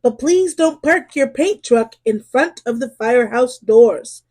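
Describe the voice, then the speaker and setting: Lady doing a bossy picture-book fire chief, high voice, slow and emphatic. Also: expressive, a little mellow. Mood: firm, polite. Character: male fire chief character, bossy, proud, fussy, picture-book cartoon.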